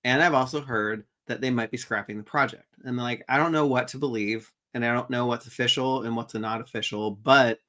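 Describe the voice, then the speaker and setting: A man's voice: mid-range voice, fairly even intonation, fairly quick, hardly any pauses, bright, forceful tone. A man co-hosting a toy news show in casual chat, easygoing and chatty, laughing along.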